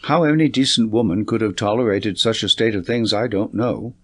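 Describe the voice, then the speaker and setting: A man reading a classic story as a scripted audiobook narration, in a measured, expressive storytelling manner. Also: on the low side.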